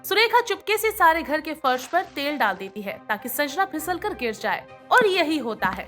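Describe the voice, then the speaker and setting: A woman's voice: high voice, a bit bright. A woman reading scripted narration for a moral bedtime story as its storyteller.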